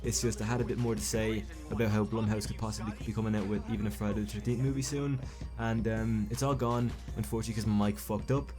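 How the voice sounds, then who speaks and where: A man's voice: lowish voice, steady tone, fast, music in the background. A young man giving casual video commentary as a YouTube film commentator, rambling and often correcting himself mid-sentence. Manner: rambling, self-correcting, stumbles and restarts. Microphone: home recording mic.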